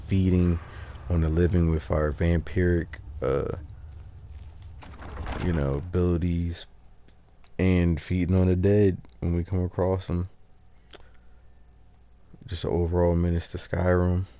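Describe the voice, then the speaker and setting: Guy with a really low mellow voice talking through a game build, kinda halting, thinking out loud. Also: flat delivery, no echo. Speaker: gaming video host. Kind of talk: casual off-the-cuff game walkthrough commentary.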